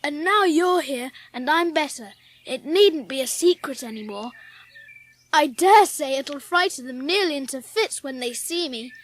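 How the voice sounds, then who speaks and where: A woman's voice: high voice, expressive, a little slow, slight background noise, rough recording. A woman playing an eager, proud, well-bred English boy in a dramatised audiobook.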